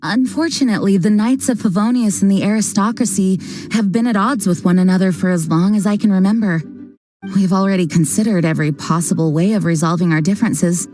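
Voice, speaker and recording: Actress as a composed female commander in a video game; mellow, measured and formal. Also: medium pitch, faint music behind, lightly acted. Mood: calm. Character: calm dutiful female knight leader, composed. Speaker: knight commander.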